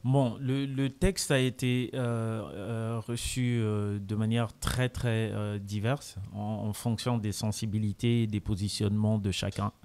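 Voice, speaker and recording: A man's voice: fairly low pitch, level tone, measured pace, hardly any pauses, darkish tone. Man answering at a press conference. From Congo. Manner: mixes French with Lingala, reflective.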